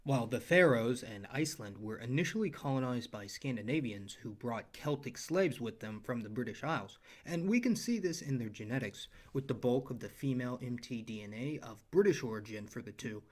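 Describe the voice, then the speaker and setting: A young adult man narrating his own educational video, with earnest explanations and personal asides. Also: mid-range voice. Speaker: channel creator and narrator. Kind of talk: scripted educational voice-over.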